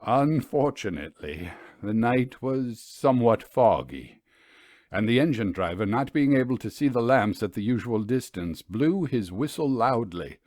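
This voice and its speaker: A man reading a literary ghost story aloud in a measured, deliberate storytelling manner, with dramatic pauses. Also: mid-range voice, sing-song, darkish tone, dry recording, studio quality. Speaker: audiobook story reader.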